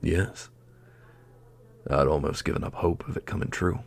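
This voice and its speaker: A man's voice: a bass voice, normal speed, creaky, heavily acted. A man playing a grave, courteous old master in a fantasy audio drama, speaking formally and with reverence. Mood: awed, grave.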